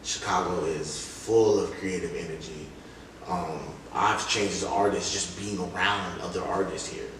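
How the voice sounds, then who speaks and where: A man's voice: deep voice, steady tone, a little slow, fairly bright tone. A young man from Columbus, Ohio, telling stories in a casual, slangy, reflective way as a music artist being interviewed. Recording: echoey room, distant mic, noisy background.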